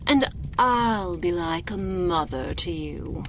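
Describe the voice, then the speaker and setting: A woman playing an imperious, seductive and menacing vampire noblewoman in an ASMR roleplay, haughty and theatrical, with drawn-out phrasing. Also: fairly low pitch, expressive. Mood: grand, commanding. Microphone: binaural microphone. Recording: close binaural mic, faint background noise.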